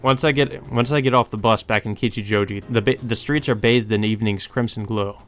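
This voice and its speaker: A man's voice: fairly low pitch, steady tone, brisk, soft background music. A man narrating a visual novel aloud as a let's play host, with brief asides of his own.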